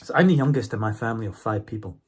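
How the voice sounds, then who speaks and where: A man's voice: fairly low pitch, very fast, slight background noise. A Scottish man in his forties with a cold, talking casually to camera as a YouTuber and checking in with his viewers as he goes. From Scotland. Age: 40s. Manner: chatty, keeps checking in with viewers. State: has a cold.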